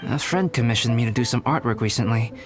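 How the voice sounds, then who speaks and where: A man's voice: medium pitch, natural voice. A man as a composed, scholarly young alchemist. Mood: thoughtful. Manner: measured, articulate, formal, composed. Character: calm, scholarly young male alchemist and painter, gently teasing. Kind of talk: video game voice acting. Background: background music.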